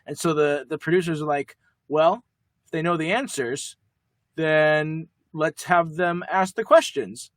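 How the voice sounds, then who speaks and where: A young man co-hosting a podcast, talking quickly in a rambling, jokey way. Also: fairly high pitch, fairly even intonation, no echo. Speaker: podcast co-host. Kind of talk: casual chat.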